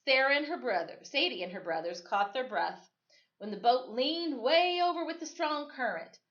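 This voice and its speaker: A woman reading a children's story aloud as expressive storytime narration. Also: high voice, normal speed, highs cut off. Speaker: storytime reader.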